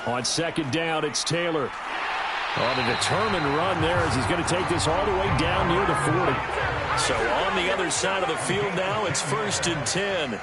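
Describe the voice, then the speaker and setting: Actor as higher-voiced American male football play-by-play announcer. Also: normal speed, close to an everyday voice. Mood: steady, focused. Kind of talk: American football video game commentary. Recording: loud music and game noise behind.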